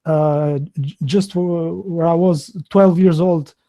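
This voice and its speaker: A man in his thirties from Albania, a native Albanian speaker with an Albanian accent, hosting a live online video interview in a halting, hesitant way with many fillers and restarts. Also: on the high side, fairly even intonation, mellow. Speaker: host.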